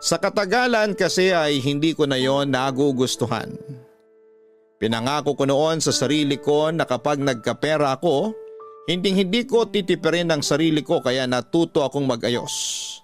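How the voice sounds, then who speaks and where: A man's voice: fairly high pitch, lively intonation, normal speed, soft background music. A man with a Filipino accent narrating a scripted first-person story as host of a radio drama.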